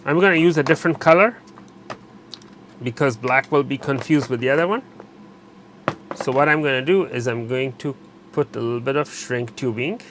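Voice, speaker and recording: A man narrating a hands-on repair tutorial while he works, pausing and correcting himself as he goes. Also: medium pitch, a bit bright, a little hiss or hum. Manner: unscripted, pauses and self-corrects while working. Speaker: electronics repair instructor.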